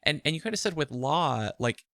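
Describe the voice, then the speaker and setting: A man's voice: fairly high pitch, lively intonation, a little fast, creaky, sharp tone, no room sound, studio quality. A man hosting a casual interview, asking questions and giving frequent affirming backchannels. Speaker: interview host.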